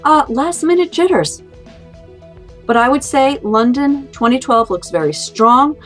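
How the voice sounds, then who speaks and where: A woman's voice: high-pitched, lively intonation, unhurried. A woman giving a casual, unscripted tarot reading in a conversational, musing way. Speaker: tarot card reader. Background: faint music.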